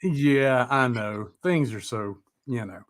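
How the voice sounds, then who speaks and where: A man's voice: medium pitch, expressive, slow, a crowd in the background. Middle-aged male music show host, informal and rambling, with a salty, unpolished livestream style. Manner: informal, mildly profane, rambling. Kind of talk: casual live-stream interview chat.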